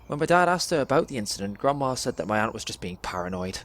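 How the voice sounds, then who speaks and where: A young man performs a dramatic spoken word monologue in a measured, deliberate storytelling way, pausing between phrases. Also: mid-range voice, big pitch swings, fairly bright tone. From England. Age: young adult.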